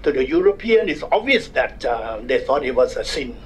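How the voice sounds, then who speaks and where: A man's voice: mid-range voice, very animated, slight background noise. Older Thai man giving a public lecture. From Thailand. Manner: wry, measured, self-deprecating humor. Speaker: guest speaker at a public forum. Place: university conference room. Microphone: desk microphone.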